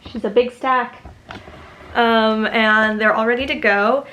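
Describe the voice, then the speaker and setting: A young woman chats to her camera in a home studio, her voice sitting a touch high. She rambles through her to-do list with plenty of ums and side remarks, sounding a little stuffy from allergies. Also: steady tone, slow, fairly bright tone, low-quality recording. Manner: chatty, rambling, many ums and asides. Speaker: small business owner vlogging. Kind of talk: casual vlog chat to camera.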